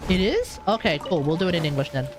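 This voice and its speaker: A man's voice: high-pitched, big pitch swings, quick pace. A man doing casual livestream game commentary, rambling and thinking aloud over game music. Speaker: game streamer. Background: video game music.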